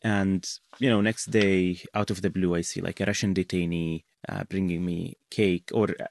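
A young man from Syria answering questions in a radio interview, talking in a halting way with many fillers. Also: low voice, little pitch movement, vocal fry, slight background noise. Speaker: interview guest, author.

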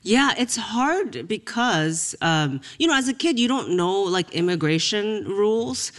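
A woman's voice: medium pitch, very animated, measured pace. A woman from Japan chatting as an interview guest. She rambles in loose, looping sentences and breaks into laughter often. Her delivery is playful and comic. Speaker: comedian guest. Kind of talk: casual interview chat.

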